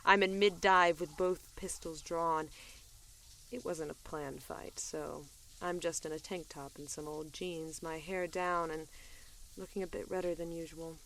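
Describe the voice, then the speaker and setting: A woman playing a cool, wry, tough female bounty hunter in an audio drama, deadpan and conversational. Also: low-pitched, a little slow, slight background noise, poor audio. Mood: wry, confiding. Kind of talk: audio drama, first-person narration and dialogue.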